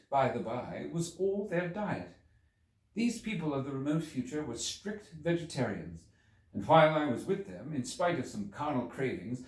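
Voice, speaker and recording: A man reading a literary story aloud as an audiobook narrator, with an expressive, measured, theatrical delivery and deliberate pauses. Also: medium pitch. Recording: roomy echo, distant from microphone.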